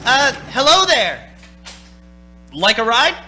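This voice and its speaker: Actor as a haunted young man, bright intense voice. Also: very high-pitched, very animated, slow, heavily acted. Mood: uneasy, probing. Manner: stammering, urgent, intense. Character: haunted young male driver, anxious, unraveling into desperation. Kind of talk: theatrical radio play acting with first-person narration. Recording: stage radio play with music.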